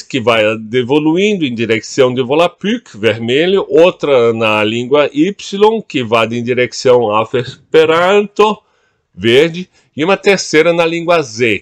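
A man reads his own writing in an unhurried, deliberate way. His delivery is drily humorous and measured, at a middling pitch. He is recorded on a webcam at a desk in a room at home. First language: Portuguese. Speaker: author reading his own text. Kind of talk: reading aloud, switching between languages.

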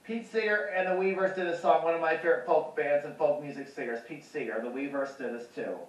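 A man in his thirties rehearsing folk songs on acoustic guitar at home, giving slow, halting introductions between songs. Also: fairly high pitch, steady tone. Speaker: folk musician. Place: small bedroom, indoors. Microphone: far camera. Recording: roomy, distant, dull camera audio.

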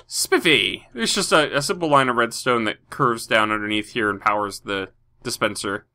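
A man's voice: medium pitch, expressive, normal speed, pushed and bright. A man with a stuffy nose from a cold, rambling through casual Minecraft commentary with many fillers. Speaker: Minecraft video host.

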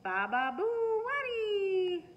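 Lady doing a shrieky excited baby boy babbling, way high, storybook read. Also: very slow, dull audio, background noise, heavily acted. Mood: excited, squealing. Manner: squealing baby talk.

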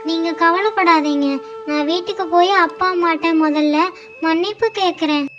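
An eager little girl, played by a woman in a children's moral cartoon, pitched extremely high. She sounds childlike and spoiled, and music plays prominently under her words. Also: steady tone, normal speed. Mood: sorry, earnest. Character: young spoiled playful girl, later sorry and earnest.

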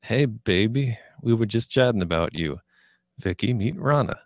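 Cocky, crude gambling boss male reader, lowish voice, swaggering and deliberate, table read. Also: animated, dry recording, clean studio sound. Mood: smug, crude. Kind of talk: script table read acting.

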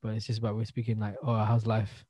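A man's voice: on the low side, completely flat delivery, fast, warm tone, husky, no room sound. A man in his twenties with a London accent, chatting and telling stories in slangy speech as a podcast co-host. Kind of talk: casual chat, storytelling. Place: indoors, remote video call.

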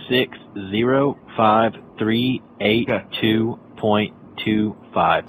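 A young man on headset voice chat, excitable and cursing a lot while playing an online game. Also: lowish voice, extremely slow, noisy background. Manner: excitable, swears often. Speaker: gamer. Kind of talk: casual gaming chat.

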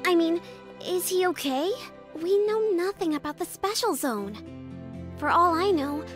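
A woman acts out a worried young girl genius in a comic dub. The voice is tiny and very high, slow and hesitant, with music behind it. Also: animated. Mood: anxious. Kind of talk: scripted comic dub acting.

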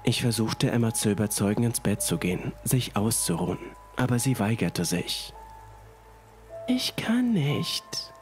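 A man narrating a scripted first-person horror story in a deliberate, suspenseful manner. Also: lowish voice, a little mellow. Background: music and rain.

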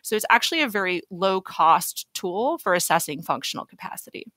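A woman speaking as a physician expert in an interview, explaining things in a measured, organized way. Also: on the low side, big pitch swings, fairly bright tone, no echo. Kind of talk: podcast interview, explaining clinical guidelines.

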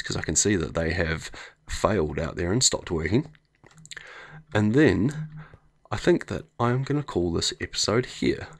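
A man with a New Zealand accent and a slightly croaky voice, chatting casually as he thinks aloud through a game. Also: low voice. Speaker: gameplay video host. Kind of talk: casual let's play commentary.

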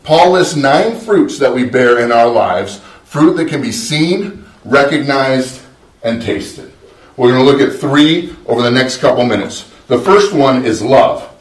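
A middle-aged pastor preaches in an earnest, conversational way, often doubling back on his words. His voice sits at an everyday male pitch. It is captured from across a small sanctuary, so it sounds distant and roomy. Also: expressive, unhurried. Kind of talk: sermon. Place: small church sanctuary, indoors. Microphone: far camera, no visible mic. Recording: roomy echo from far off.

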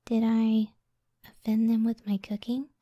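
A woman voicing a gentle, self-doubting giant cyclops wife in a soft, breathy ASMR roleplay, speaking tenderly and often near a whisper. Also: on the high side, level tone, no echo, studio quality. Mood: wistful, insecure. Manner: slow, tender, unhurried.

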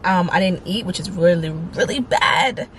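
A woman's voice: on the low side, noisy background. A young woman chatting frankly to a handheld camera in a casual vlog, moving between home, car and cafe. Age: young adult. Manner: chatty, frank, quick asides. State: congested. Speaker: vlogger. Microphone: handheld camera at arm's length.

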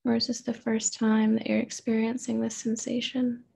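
A woman therapist leading a guided meditation in a gentle, unhurried manner, with pauses and open questions. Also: fairly high pitch, completely flat delivery, vocal fry, slightly rough.

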